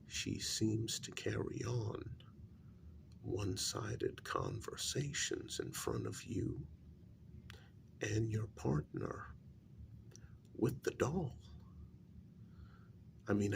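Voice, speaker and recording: A man acting as a somber paranormal investigator in an ASMR role-play, very slow and deliberate, with long pauses. His soft, low voice is full of heavy creak and sinks toward a whisper, blunt but hushed. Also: background noise, full character voice. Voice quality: breathy, gravelly soft voice dropping near whisper. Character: grave, blunt paranormal investigator consulting a worried parent.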